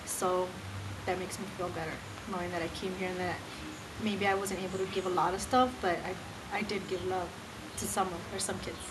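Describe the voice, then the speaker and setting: A woman's voice: lowish voice, level tone, a little fast. A woman, a student volunteer, speaking reflectively and from the heart in an interview. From United States. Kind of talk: personal interview testimonial. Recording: clearly noisy background ambience.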